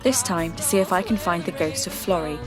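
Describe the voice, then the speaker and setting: A woman playing a reflective podcast host, reading scripted narration in a measured, confiding way. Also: lowish voice, close to an everyday voice. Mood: friendly, measured. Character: reflective female podcast host investigating folklore mysteries. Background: music.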